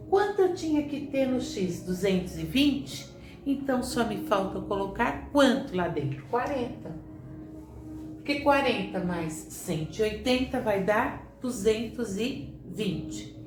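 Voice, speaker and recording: A woman teacher talks through sums step by step, asking questions and answering them herself. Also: a little high. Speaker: math teacher. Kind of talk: classroom lesson at a board. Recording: echoey room, voice from a distance, clear background hiss.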